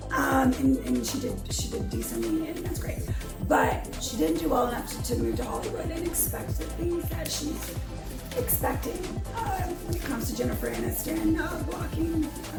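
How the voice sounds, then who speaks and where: A woman with a high, gravelly voice that is hoarse from allergies talks bluntly and rambles through her opinions. She sounds like she is filming at home in an echoey room, with music playing behind her. She is a vlogger in her fifties. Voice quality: raspy. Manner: blunt, rambling, frequent ums. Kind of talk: opinionated casual commentary. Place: home during quarantine.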